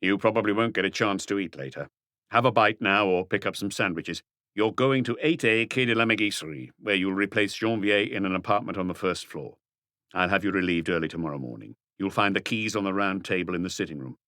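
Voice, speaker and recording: A man voicing an authoritative, deliberate senior detective in an audiobook, calmly giving practical instructions. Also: sits low, dry recording, clean studio sound. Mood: calm command. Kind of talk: audiobook character dialogue.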